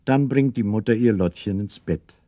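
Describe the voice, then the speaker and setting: A man narrating a children's radio play as a storyteller, in a measured, deliberate manner. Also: on the low side, warm tone, dry recording, studio quality, close to an everyday voice. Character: calm male storyteller addressing young listeners.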